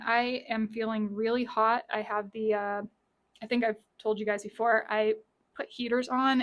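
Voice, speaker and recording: A woman with a raspy, scratchy voice giving a casual tarot reading from her small home office, chatty and repeating key lines. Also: fairly high pitch. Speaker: tarot reader.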